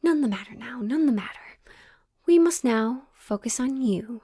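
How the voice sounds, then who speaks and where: A woman playing a coy, theatrical witch who reads tarot, teasing and mysterious, coaxing the listener with dramatic pauses in an audio roleplay. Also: a little high, a little hiss or hum. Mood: coaxing, mystical. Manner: slow, coaxing, endearments, dramatic pauses, breaks into near-whispers. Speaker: tarot reader.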